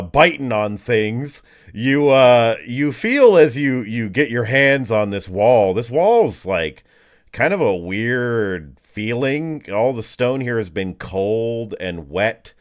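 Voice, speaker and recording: A man acting as game master, narrating an improvised scene in a halting, conversational way. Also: mid-range voice, smooth. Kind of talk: improvised tabletop game narration and casual chat.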